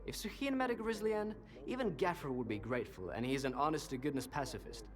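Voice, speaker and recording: A man's voice: mid-range voice, expressive, fast talker, natural voice. An actor playing a cynical, worldly male informant in a video game, talkative and sardonic, with an insinuating conversational manner. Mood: scornful. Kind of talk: video game dialogue acting.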